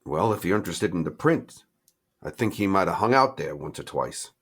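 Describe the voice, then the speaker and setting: A man playing a hesitant, sly vampire sidekick in a tabletop game, with a halting, folksy, stammering manner. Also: low voice, expressive, slightly rough, exaggerated voice. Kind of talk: improvised tabletop role-play.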